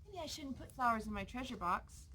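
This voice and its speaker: A woman voicing a playful, sensitive young girl in a children's storybook, with expressive childlike reactions. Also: fairly high pitch, a little fast, slight background noise, low-quality recording. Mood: sad, wondering.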